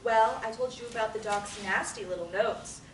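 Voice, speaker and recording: A woman's voice: on the high side, moderate pace, lightly acted. A woman at a table reading, playing an abrasive, defensive young woman in court-ordered therapy who is sarcastic and swears freely. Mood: grudging. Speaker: table-read actor. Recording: roomy, distant mic, faint noise.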